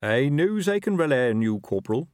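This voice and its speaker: A man's voice: mid-range voice, very animated, brisk, no room sound, studio quality, pitched above the performer's own voice, close to an everyday voice. A man voicing a self-important, pompous and rather dim commanding officer in a storybook. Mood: self-satisfied.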